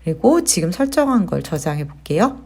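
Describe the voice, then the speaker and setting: Woman w/ a lowish voice doing a step by step how-to, chatty explaining, asks the viewer stuff as she goes. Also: big pitch swings, a little fast, dark tone, breathy edge. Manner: explanatory, conversational, poses questions to viewers. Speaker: tutorial host, digital marketer. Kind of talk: casual step-by-step software tutorial narration.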